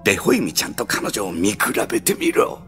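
An excitable alien ship's captain for an anime drama CD. He speaks in grand, old-fashioned commands with a creaky edge, and his pitch leaps about theatrically. Soft music plays underneath. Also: brisk, heavily acted. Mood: smooth, proposing. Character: pompous, excitable male alien spaceship captain.

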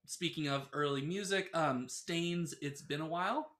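A man's voice: a little high, moderate pace, fairly bright tone. A man co-hosting a podcast, bantering casually in a joking, self-deprecating way. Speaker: podcast co-host. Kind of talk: casual banter about music. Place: small indoor room with couch. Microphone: podcast microphone.

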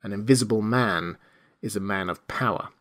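A man voicing a desperate and menacing invisible man who speaks urgently and forcefully. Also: on the low side, moderate pace, close to an everyday voice. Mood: urgent, commanding. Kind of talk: audiobook character reading.

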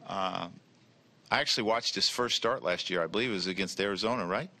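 Low, bright-voiced baseball manager at presser. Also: male voice. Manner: measured, repeats words when starting answers. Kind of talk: press conference answers. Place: press room in front of a team backdrop. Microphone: table mic with a logo flag.

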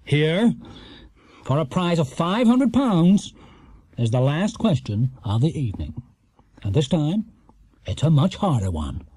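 A man playing a smug male quiz show master of ceremonies in a children's storybook, with a drawn-out showman's delivery. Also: fairly high pitch, big pitch swings, warm tone, slightly rough, no room sound, full character voice. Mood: solemn.

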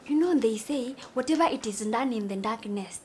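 A woman's voice: a little high, expressive, moderate pace, darkish tone, slight background noise, lightly acted. A woman with a Kenyan accent playing a coy, gossipy young student in a drama. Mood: confiding.

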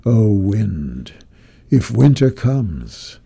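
Man, poetry reading, very slow, mellow dark tone, grave and declamatory. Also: on the low side, very animated, creaky, breathy edge. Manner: slow, grave and declamatory, swelling and falling to a hush. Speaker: poetry reader. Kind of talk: dramatic poetry recitation.

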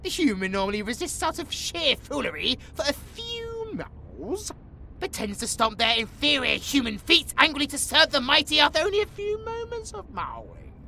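High-pitched, pompous British tiger-boy cat. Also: huge pitch swings, normal speed, gravelly, sharp tone, slight background noise, exaggerated voice. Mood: puzzled, impatient. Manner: grandiose, theatrical, meowing. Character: pompous, melodramatic tsundere tiger-boy cat, self-styled mighty. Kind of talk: comedic audio roleplay acting.

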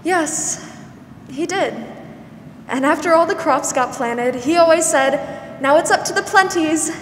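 A woman's voice: high-pitched, measured pace, fairly bright tone. A woman acting a kindly, patient older country spinster in a 1940s-style radio drama. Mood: gentle. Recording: roomy stage hall, faint noise.

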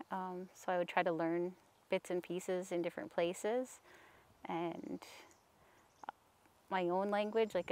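A woman in her thirties, speaking softly outdoors in a sunny park, answering interview questions hesitantly with many pauses while she is losing her voice. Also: on the low side. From Canada. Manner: soft-spoken, hesitant with frequent ums. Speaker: staff member interviewee.

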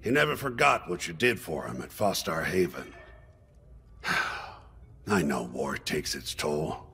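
A man voicing an older fish-like alien officer, solemn and steadfast, speaking slowly and weightily with a gravelly voice in a video game. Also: a bass voice, sing-song, bright, forceful tone, a little hiss or hum, full character voice. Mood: sorrowful. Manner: slow, emphatic, weighty. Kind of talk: video game cutscene dialogue.

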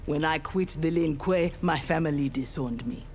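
A woman's voice: deep voice, moderate pace, darkish tone, a little hiss or hum, close to an everyday voice. A woman with a Nigerian accent voicing a proud, righteous young ninja warrior in a fighting game, formal and defiant. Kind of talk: video game fight dialogue.

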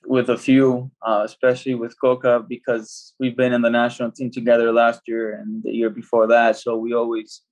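A man's voice: medium pitch, completely flat delivery, moderate pace, few pauses, a little mellow, low-quality recording. A young man, a footballer from El Salvador, talking casually as a guest on a video-call interview, rambling with many restarts. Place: small home room. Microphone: laptop video call.

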